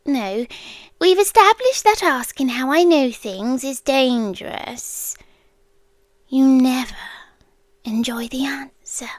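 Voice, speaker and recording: British woman doing an ancient doomsday girlfriend thing, calm n clingy, high soft half whispered asmr voice, super slow. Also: no echo. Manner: slow, hushed, deliberate delivery. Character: ancient female apocalyptic being, calm possessive doting girlfriend.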